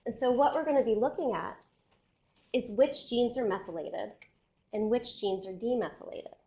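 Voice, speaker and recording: A woman scientist giving an academic seminar lecture, explaining step by step and often checking in with her listeners, while losing her voice and clearing her throat. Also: fairly high pitch, normal speed, warm tone.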